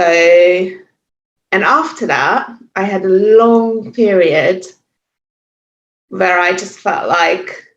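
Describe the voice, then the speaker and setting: Guest voice: Hungarian-accented woman in her forties, lowish pitch, hesitant and reflective, recorded in a roomy space on a dull, muffled line. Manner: thoughtful, hesitant, many fillers and restarts. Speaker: podcast guest, yoga teacher. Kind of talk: reflective interview talk. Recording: echoey, muffled remote audio.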